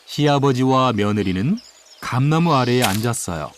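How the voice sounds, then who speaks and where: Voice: medium pitch, lively intonation, moderate pace, background noise. A narrator telling a children's folktale expressively, playing up the sound words. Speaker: storyteller narrator. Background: music.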